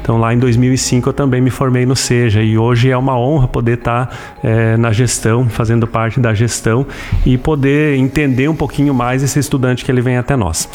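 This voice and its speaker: A man in his thirties with a Brazilian accent explaining things as an interview guest, haltingly, with frequent tag questions. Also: medium pitch, flat delivery, darkish tone. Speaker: interview guest, school administrator.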